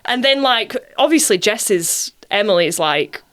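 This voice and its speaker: A woman's voice: mid-range voice, lively intonation, a little slow, a bit bright. A woman from Bradford with a Bradford accent, an actress chatting casually as a guest in an interview. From Bradford, England.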